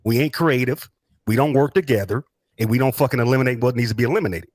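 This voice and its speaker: Fast, combative man with a husky edge. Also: mid-range voice, a little mellow, no room sound. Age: millennial adult, 20s to early 40s. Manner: combative, blunt. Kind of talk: heated, profane podcast debate.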